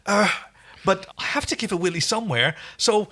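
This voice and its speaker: A man's voice: sits high, expressive, a little fast, sharp tone. A man co-hosting a podcast, chatting casually and enthusiastically about an episode, often breaking off and restarting his thoughts. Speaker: podcast co-host. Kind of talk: casual episode review chat.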